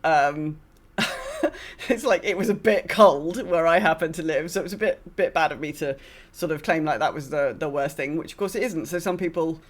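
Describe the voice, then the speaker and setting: A woman's voice: low voice, sing-song, moderate pace. A British woman hosting a podcast in casual chat, rambling and hesitant as she talks. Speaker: podcast host.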